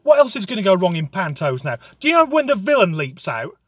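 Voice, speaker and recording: A man's voice: high-pitched, sing-song, quick pace, husky, no echo. Sweary, sarcastic man ranting. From United Kingdom. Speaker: comedic ranter. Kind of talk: sardonic comic monologue.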